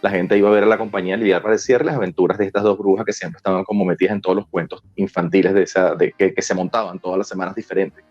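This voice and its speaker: A man in his forties with a Venezuelan accent and a low-pitched voice talks at length in a casual, colloquial way as a guest. The recording is slightly dull, with music playing behind him. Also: flat delivery, rapid-fire, few pauses, darkish tone. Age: 40s. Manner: talkative, colloquial. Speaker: interview guest, screenwriter. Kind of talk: casual conversational storytelling.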